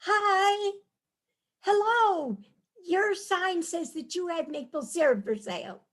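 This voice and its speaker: A woman's voice: very high voice, slow pace, heavily acted. A woman playing a cheerful New York wife charmed by the countryside, chatty and dreamy, in a staged reading of a comic play. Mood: excited. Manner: chatty, dreamy, easily excited.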